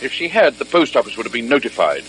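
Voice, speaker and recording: An actor playing a brisk, matter-of-fact British police superintendent in an old-fashioned radio drama. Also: male voice, medium pitch, very animated, fairly bright tone, slight background noise, rough recording. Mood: businesslike.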